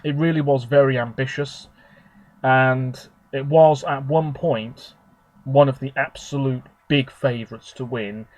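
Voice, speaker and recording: A man with a slightly hoarse, husky voice from a sore throat, chatting on his own channel. He talks in a rambling, relaxed way, giving his opinions one after another at a middle pitch. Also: measured pace, dry recording. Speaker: video host. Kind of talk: casual solo commentary.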